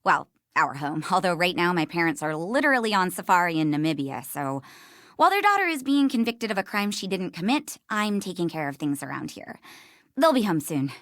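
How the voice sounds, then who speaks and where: Animated, chatty, brittle hostess. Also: female voice, on the low side, brisk, no echo, clean studio sound. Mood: brittle, indignant. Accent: American. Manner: chatty, expressive, brittle politeness. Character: chatty hostess, harried, prim and indignant woman. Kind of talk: video game voice acting.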